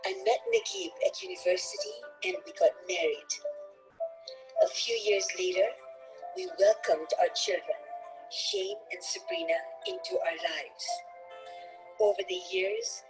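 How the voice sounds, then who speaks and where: A woman from Tanzania reading a prepared introduction about herself, slow and measured with wide pitch swings. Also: huge pitch swings, gravelly, over music, poor audio. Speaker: author.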